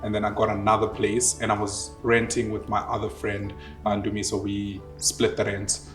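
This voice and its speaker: A man's voice: fairly low pitch, completely flat delivery, moderate pace, a bit bright, faint music behind. A man in his twenties with a Southern African accent, casually telling his own story and acting out remembered dialogue. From Zimbabwe and South Africa. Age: 20s.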